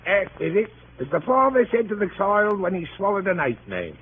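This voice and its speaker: High, cheeky servant. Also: male voice, sing-song, a little fast. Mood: shrewd, cheeky. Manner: jaunty, cheeky, wisecracking. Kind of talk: 1930s radio comedy acting. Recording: scratchy, tinny 1938 radio broadcast.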